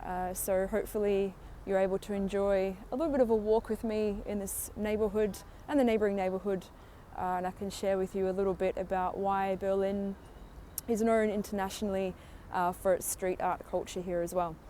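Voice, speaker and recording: A woman's voice: mid-range voice, fairly even intonation, a little fast, dark tone, a little hiss or hum. A woman in her thirties from Australia with an Australian accent, a tour guide chatting through a live walking tour on her phone with many "uh" fillers. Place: sunny street outdoors. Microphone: phone microphone.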